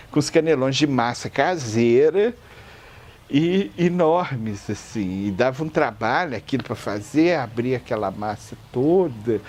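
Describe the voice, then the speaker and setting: A man's voice: medium pitch, slight background noise. A Brazilian man enthusiastically recalling his grandmother's cooking in an interview, peppering his speech with fillers. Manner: lively, enthusiastic, repeats "assim" and "entendeu". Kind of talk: reminiscing interview.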